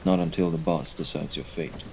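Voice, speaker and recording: Guy doing a mob boss's right hand, deep voice, formal n measured, quietly scary, audio roleplay with rain in the bg. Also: fairly even intonation, gravelly, slight background noise, low-quality recording. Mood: coolly menacing. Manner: formal, measured, deliberate wording. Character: menacing, composed mafia right-hand man, formal and dutiful. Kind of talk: scripted audio roleplay acting. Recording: rain and ambience behind the voice.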